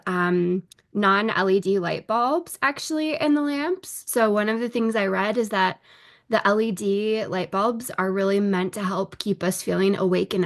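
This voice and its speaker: Gravelly-voiced woman hosting a podcast. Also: medium pitch. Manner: friendly, welcoming, some fillers. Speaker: podcast host. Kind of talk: casual chat.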